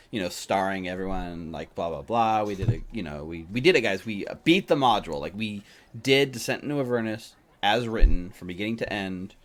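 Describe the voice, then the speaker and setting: A man narrating a tabletop game as game master in a casual, improvised, rambling way. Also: on the low side. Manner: rambling, peppered with "like" and "you know".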